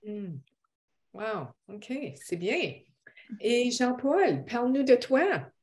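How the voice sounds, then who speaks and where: A woman interviewing guests for a church broadcast, asking questions gently with hesitation fillers. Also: fairly high pitch, big pitch swings, drawn-out words.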